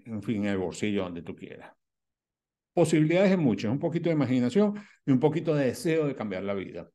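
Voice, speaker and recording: A man's voice: mid-range voice, dark tone. A man in his sixties speaking to camera as a channel host, explaining didactically with emphatic repetition and questions he answers himself. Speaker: host of a spirituality and prosperity channel. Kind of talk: direct-to-camera advice vlog. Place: small indoor room at home.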